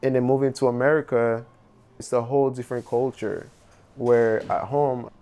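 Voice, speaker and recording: A man's voice: medium pitch, little pitch movement, moderate pace, warm tone, muffled recording. A young man from Haiti with a Haitian accent, a painter in a documentary interview, speaking reflectively with loose phrasing and many fillers. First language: Haitian Creole.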